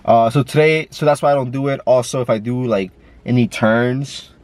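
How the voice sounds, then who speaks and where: A man's voice: mid-range voice, moderate pace, darkish tone, no echo. A man with a stuffy nose, talking in a casual, rambling way with lots of fillers and swearing, filmed on a camera while driving. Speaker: vlogger. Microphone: camera mic.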